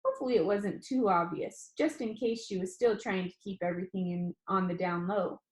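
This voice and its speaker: A woman's voice: medium pitch, brisk. Woman teacher reading aloud in an echoey room. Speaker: teacher reading a novel aloud to an online class. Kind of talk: classroom read-aloud, first-person storytelling. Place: indoor room, online class call.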